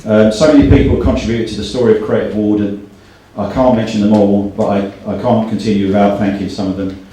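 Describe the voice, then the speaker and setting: A man's voice: fairly low pitch, fairly even intonation, fairly quick, dark tone, a little hiss or hum. Distant, echoey middle-aged man hosting. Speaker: event host and company director. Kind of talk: thank-you speech, reading out names. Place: in a hall, speaking to an event. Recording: very echoey hall, distant from microphone.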